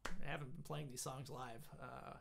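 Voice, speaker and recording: A man's voice: steady tone, moderate pace, vocal fry, a little hiss or hum. A man in his thirties singing and chatting on a livestream from a small home studio, playing acoustic guitar into a condenser mic. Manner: sings high and loud, talks low and casually between songs. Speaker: singer of the band. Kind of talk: solo acoustic livestream performance and chat. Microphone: studio condenser mic, wearing headphones.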